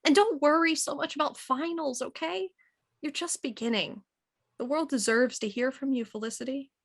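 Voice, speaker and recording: A woman's voice: high-pitched, normal speed. An actress playing a loving, teasing mother leaving a voicemail for her daughter, heard as a distant phone message. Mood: affectionate. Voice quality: bright, fond, teasing.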